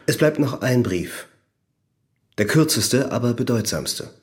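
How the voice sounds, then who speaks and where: An actor playing a persistent, methodical examining magistrate with a formal, probing manner in a German radio drama. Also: male voice, medium pitch, expressive, a little slow, lightly acted.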